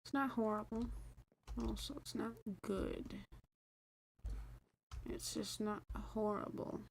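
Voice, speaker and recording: A woman streaming a building game, chatting casually in a rambling, self-deprecating way, her voice mumblier and deeper than usual. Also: slow, creaky, a little hiss or hum, rough recording. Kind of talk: casual gameplay stream chat.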